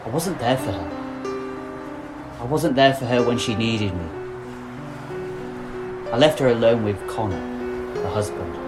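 An actor playing a distraught, bitter suspended policeman in an audio drama, speaking in an anguished, halting way. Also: medium pitch, animated, loud music behind the voice, lightly acted, poor audio. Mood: grieving, bitter. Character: distraught suspended young policeman, bitter, guilt-ridden lover. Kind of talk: audio drama monologue and dialogue.

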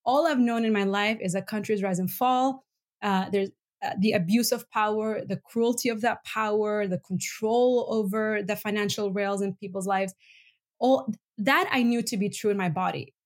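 A woman's voice: mid-range voice, moderate pace. A woman from Venezuela and Bolivia, a podcast guest speaking earnestly and reflectively in a casual interview, with frequent ums. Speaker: podcast guest, human rights advocate.

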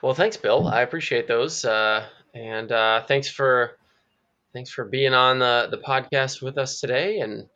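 A male podcast host speaks in a bright, forward voice, leading the talk with friendly prompts and frequent filler words. His audio sounds slightly muffled and lo-fi. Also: mid-range voice, a little slow. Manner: friendly, uses many fillers and affirmations. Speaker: podcast host, church fellow. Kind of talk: casual interview hosting.

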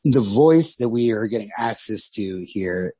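A man's voice: on the low side, lively intonation, a little slow, dark tone, slightly rough, no echo. A man co-hosting a podcast, discussing literature casually and thinking aloud in a tentative, hedging way. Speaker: podcast co-host.

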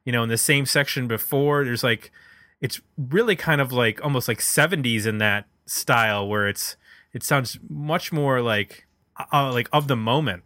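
A man's voice: mid-range voice, normal speed, bright, forceful tone, no echo, studio quality. A man talking casually and analytically about music as a podcast host, feeling his way through his phrasing. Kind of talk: casual album discussion.

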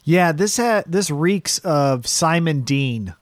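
A man co-hosting a wrestling podcast, talking in a blunt, profane, animated way. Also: on the high side, takes it slowly, no room sound, studio quality. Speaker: podcast co-host. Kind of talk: casual wrestling chat.

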